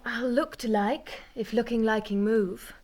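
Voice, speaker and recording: A highish, demure young noblewoman. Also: steady tone, measured pace, darkish tone. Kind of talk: Shakespearean audio drama acting.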